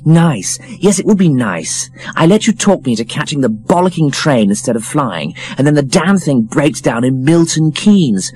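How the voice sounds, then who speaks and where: A man's voice: a little high, very animated, normal speed, hardly any pauses, a little mellow, pitched above the performer's own voice. A man voicing a snobbish, scathing young woman, blunt and emphatic, for an audiobook. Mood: scornful, exasperated. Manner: sneering, emphatic, cutting. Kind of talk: audiobook character voice.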